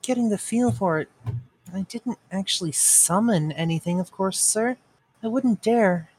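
A woman's voice: mid-range voice, steady tone, takes it slowly, darkish tone, slight background noise, voice pushed up, close to an everyday voice, poor audio. A woman voicing a timid young boy apprentice in a storybook, hesitant and deferential. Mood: nervous, pleading.

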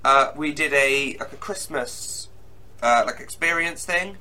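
A young man hosting a podcast, chatting eagerly with quick restarts. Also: fairly high pitch, bright, forceful tone. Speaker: podcast host. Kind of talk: casual chat.

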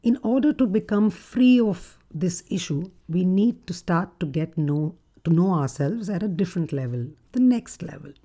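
A woman with a deep, gruff voice hosting a reflective solo podcast as a relationship coach, speaking in a measured, thoughtful way. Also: lively intonation, mellow. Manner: measured, thoughtful delivery with occasional fillers.

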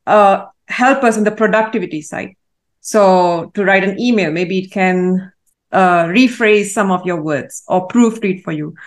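A woman from Malaysia, a guest in an interview, answering in a thoughtful, measured way with frequent pauses and fillers. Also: medium pitch. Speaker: guest, AI consultant.

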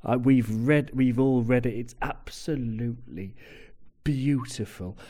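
A man's voice: mid-range voice, a little slow, warm tone. A man co-hosting a casual football chat, rambling with wry humor. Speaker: podcast co-host.

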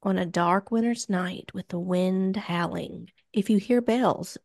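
A woman's voice: lowish voice, measured pace, vocal fry, darkish tone, dry recording, clean studio sound. An American woman hosting a podcast, telling spooky legends in a casual, joking, profane way with plenty of banter.

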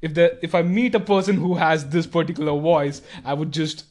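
A man from India with a mixed Indian accent and Tamil as his first language. His voice sits slightly high, and he talks in a casual podcast chat. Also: brisk, a little mellow. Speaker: podcast guest or co-host.

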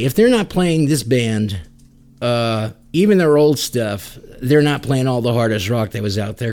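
A man's voice: a little high, a little mellow. A man hosting a music podcast, introducing songs with casual, chatty commentary and a fan's informal enthusiasm.

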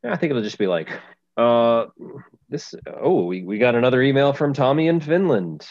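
A man's voice: medium pitch, big pitch swings, moderate pace, darkish tone, no echo, dull audio. A man co-hosting a podcast, chatting casually and rambling with lots of restarts. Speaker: podcast co-host. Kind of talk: casual chat.

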